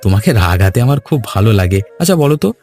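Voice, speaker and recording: A man's voice: on the low side, very animated, racing, darkish tone, soft background music. A man playing a brash, quarrelsome young villager in a cartoon, talking bluntly and goading in colloquial speech. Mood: teasing. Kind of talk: Bengali cartoon dialogue.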